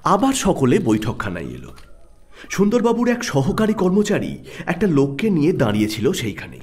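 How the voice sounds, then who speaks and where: A man's voice: fairly high pitch, wildly swooping pitch, moderate pace, a little mellow, slight background noise. A man giving dramatic scripted narration of a detective story. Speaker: narrator who also reads the detective.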